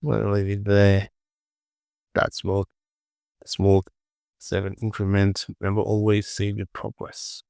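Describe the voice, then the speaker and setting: A man giving an informal software tutorial, muttering short clipped instructions to himself and often repeating words. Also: fairly low pitch, completely flat delivery, slow pace, gravelly, long pauses, clean tone, dry recording, clean studio sound. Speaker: 3D modeling tutorial presenter.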